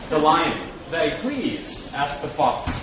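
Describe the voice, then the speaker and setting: Animated man storytelling in an echoey room. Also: a little high, slow. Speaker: reader at a public read-aloud. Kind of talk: dramatic scripted storytelling. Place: live reading event before an audience. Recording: very echoey live room, distant, noisy.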